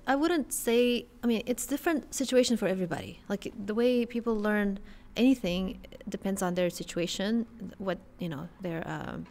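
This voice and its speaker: A young woman with a mid-pitched voice talks close to a boom mic in a studio. She explains step by step, with the odd filler. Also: moderate pace, few pauses, a little mellow. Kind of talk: casual conversation. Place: dark studio. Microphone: boom mic in front.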